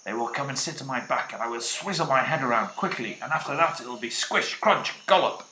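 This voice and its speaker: A man's voice: medium pitch, animated, brisk, bright, forceful tone, slight background noise, pitched above the performer's own voice. A man voicing a greedy, scheming male crocodile who gloats to himself, in a storybook reading. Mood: gloating. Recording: roomy, with music behind.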